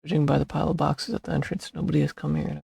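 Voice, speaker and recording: A man's voice: fairly high pitch, little pitch movement, very fast, creaky, warm tone, breathy edge, no room sound. A man giving casual gameplay commentary, rambling and thinking aloud in a self-deprecating way. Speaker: streamer playing a video game.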